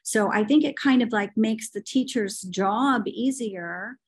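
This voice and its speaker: Middle-aged woman guest, slightly high pitch, forthright and chatty with fillers. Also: measured pace, breathy edge. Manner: opinionated, storytelling, frequent fillers. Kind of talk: podcast conversation.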